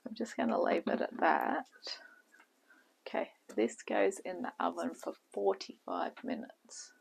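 A woman's voice: mid-range voice, lively intonation, measured pace, creaky. Chatty woman muttering through a recipe. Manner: chatty, thinks aloud, trails off into muttering. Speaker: home cooking channel host. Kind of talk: casual cooking tutorial. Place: home kitchen, indoors. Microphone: camera on the counter. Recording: noisy home kitchen recording.

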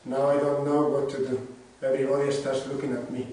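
A man's voice: medium pitch, monotone, brisk, dark tone, natural voice. A man with a Spanish accent playing a wisecracking, self-mocking young man in an amateur script reading. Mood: storytelling. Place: wooden-floored room indoors. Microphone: far camera. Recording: echoey room, distant mic, faint noise.

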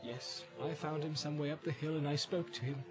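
A man's voice: on the high side, fairly even intonation, lightly acted, poor audio. Man playing a grim warrior, guilty, subdued, evasive, formal wording, storybook drama, faint music behind. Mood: subdued, defensive. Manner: halting, quiet, formal.

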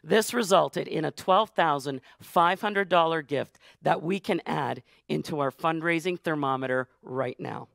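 A Canadian man with a high, strained voice emcees a charity auction, hoarse and raspy from hours of talking. He rattles off donor names and totals with relentless hype. The sound is close and dry, from a studio stage. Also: fairly bright tone, no echo. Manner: rapid hype, calling out donors. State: hoarse, losing voice. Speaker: gala auctioneer and host. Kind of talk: high-energy live fundraising emcee. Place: studio stage with blue curtains.

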